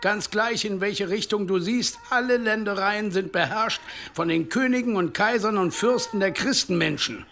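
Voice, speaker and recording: A man's voice: high-pitched, normal speed, fairly bright tone, a little hiss or hum. An actor playing a wary village man in a radio drama, reasoning earnestly. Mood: urgent caution.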